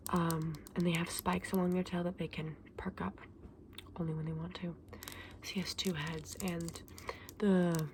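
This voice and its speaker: A woman playing a kindly, chatty fantasy saleswoman in an ASMR roleplay, mixing breathy whispers with soft, gentle, unhurried speech. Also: on the low side, slight background noise. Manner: gentle, unhurried, hesitant, endearing customer address. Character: kindly, chatty saleswoman in a dragon egg shop.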